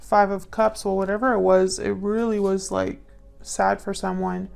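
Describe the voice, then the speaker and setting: A woman giving a casual intuitive tarot reading to viewers, musing and pausing as she thinks aloud. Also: mid-range voice, level tone, vocal fry, darkish tone. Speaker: tarot reader.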